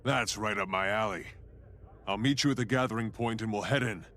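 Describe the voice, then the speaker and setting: A man's voice: fairly low pitch, brisk, bright, forceful tone. An actor playing a bold, resolute male warrior hero in a fantasy video game, assured and straightforward. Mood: resolute, friendly.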